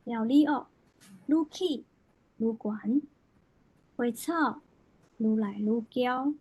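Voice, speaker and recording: Female poetry reader, Taiwanese accent, very unhurried, higher pitch, soft dark tone with some huskiness. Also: slightly rough, poor audio. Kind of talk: expressive literary recitation.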